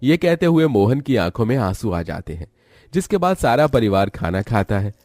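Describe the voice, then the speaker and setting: A man's voice: mid-range voice, sing-song, normal speed, a little mellow, dry recording, clean studio sound. A man narrating a scripted story as its storyteller.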